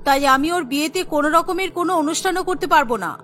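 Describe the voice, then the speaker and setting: A woman's voice: extremely high pitch, rapid-fire, a bit bright. A woman voicing a harsh, greedy middle-aged mother-in-law in a cartoon, scolding and disdainful. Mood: angry, scornful. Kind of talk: Bengali cartoon melodrama voice acting.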